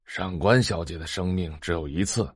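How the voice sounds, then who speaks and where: Pompous old doctor, rather low. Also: male voice, moderate pace, breathy edge, no room sound, studio quality. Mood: grave, condescending. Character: pompous, condescending old male doctor, grave warnings. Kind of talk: multi-voice audio drama acting.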